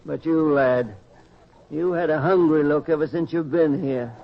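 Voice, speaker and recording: An actor playing a world-weary old pig tender, wry and folksy, in the style of an old-time radio drama. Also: male voice, on the high side, measured pace, creaky. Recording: dull, lo-fi radio-style recording.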